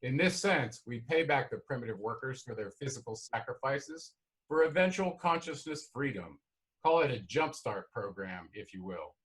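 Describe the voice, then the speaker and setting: A man's voice: mid-range voice, expressive, moderate pace, a bit bright, natural voice, low-quality recording. A man playing a lofty, self-assured alien god who lectures in a formal, philosophical manner in a screenplay read-through. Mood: reasoning, lofty.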